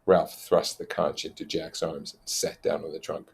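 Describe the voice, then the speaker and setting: Low-voiced man reading aloud. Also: level tone, moderate pace, gravelly, darkish tone. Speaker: narrator reading a novel aloud with comments. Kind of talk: expressive read-aloud narration with plain explanations.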